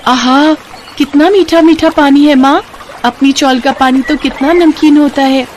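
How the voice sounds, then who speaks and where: A woman's voice: high voice, fairly even intonation, a little slow, darkish tone, a little hiss or hum, voice pushed up. A woman voicing an innocent, poor little daughter in a moral story, with a plaintive childlike manner. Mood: wistful delight.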